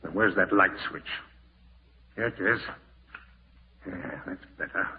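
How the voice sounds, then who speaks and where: A man's voice: low-pitched, unhurried. An actor playing a callous, scheming man in a 1940s radio horror drama, muttering to himself and snappish, with melodramatic delivery. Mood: uneasy, muttering. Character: scheming, callous male relative plotting murder, panicking and irritable. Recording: scratchy, tinny old-time radio broadcast.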